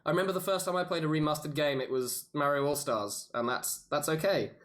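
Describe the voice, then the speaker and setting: A man's voice: fairly high pitch, brisk, fairly bright tone. A man chatting casually as a podcast panelist about games, giving opinions with some swearing. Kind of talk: casual gaming chat.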